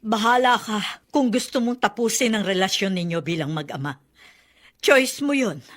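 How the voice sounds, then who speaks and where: A woman's voice: mid-range voice, lively intonation, moderate pace, fairly bright tone, close to an everyday voice. A woman playing a protective older grandmother, reproachful and insistent, in a Filipino radio drama.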